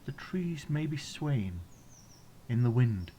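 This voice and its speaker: Slow, very mellow English man. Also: mid-range voice, very animated, a little hiss or hum. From England. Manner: gentle, soothing, instructional, with pauses. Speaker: meditation guide. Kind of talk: guided meditation.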